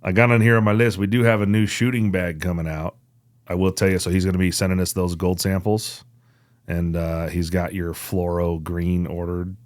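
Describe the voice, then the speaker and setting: Deep voice guy cohosting a podcast, casual chat, super dry close sound. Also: little pitch movement, normal speed, dry recording. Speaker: podcast co-host.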